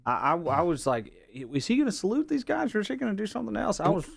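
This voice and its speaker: A man's voice: high voice, big pitch swings, quick pace, creaky, breathy edge. A man, a radio co-host who talks emphatically and repeats himself. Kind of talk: casual studio banter.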